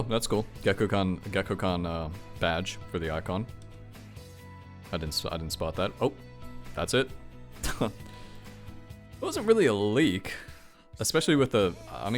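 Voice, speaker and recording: A man giving casual, rambling, off-the-cuff reaction commentary on video games, thinking aloud and speaking frankly. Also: fairly low pitch. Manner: rambling, self-questioning, frank with some swearing.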